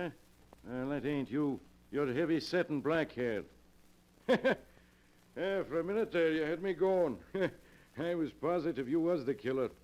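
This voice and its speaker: An actor playing a pushy, streetwise bartender with slangy wisecracking delivery in 1940s radio style. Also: male voice, fairly high pitch, a little mellow, rough recording. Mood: relieved, joking. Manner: slangy, pushy, punctuates with "see?". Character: tough, suspicious wisecracking male bartender. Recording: vintage 1940s radio recording.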